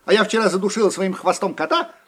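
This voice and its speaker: Boastful storybook mouse girl, husky and high, a man doing the voice. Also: fast talker, heavily acted. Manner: bragging, shrill and lively. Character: boastful female mouse with a long tail.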